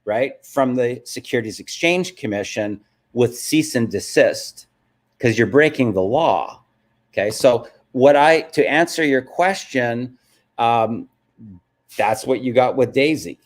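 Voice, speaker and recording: A man's voice: medium pitch, expressive, unhurried. A man hosting a live stream, answering viewers and stressing points with frequent "okay?" check-ins. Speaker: host of a live business stream.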